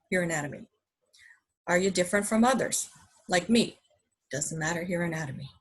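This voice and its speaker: A woman's voice: medium pitch, slightly rough, poor audio. A woman from Hawaii giving an earnest, enthusiastic motivational presentation, often asking her listeners rhetorical questions. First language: English. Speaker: business presenter.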